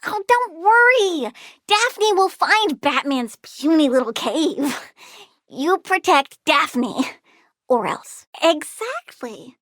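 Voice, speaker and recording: A woman's voice: high-pitched, big pitch swings, takes it slowly, a bit bright, no room sound, heavily acted. A woman voicing a volatile young plant-powered girl villain in a video game, girlish, bratty and blunt, with gleeful menace. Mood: menacing. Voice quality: girlish, childlike. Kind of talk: video game character voice lines.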